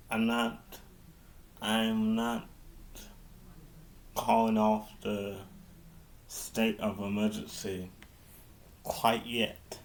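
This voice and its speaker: A man giving an improvised public address as a mayor, halting and often repeating and restarting his phrases. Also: lowish voice, slight background noise.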